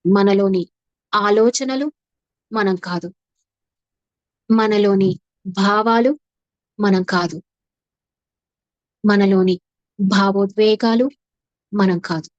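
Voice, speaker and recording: A woman leading a guided meditation as a teacher, soothing and unhurried, with long pauses and repeated phrases. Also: mid-range voice, dry recording, muffled recording. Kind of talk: guided meditation, slow instructive narration.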